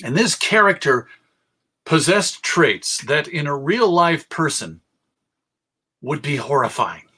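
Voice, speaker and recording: A middle-aged American man giving a public lecture on radio history in a measured storytelling way, with deliberate pauses. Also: fairly high pitch, sing-song, pushed and bright, slightly rough. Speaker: presenter.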